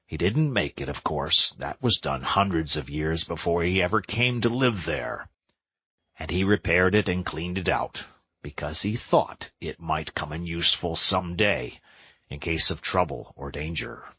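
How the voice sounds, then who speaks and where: A man voicing a stern, wise old male badger in a storybook reading, slow and grave. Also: sits low, lively intonation, sharp tone, breathy edge, full character voice.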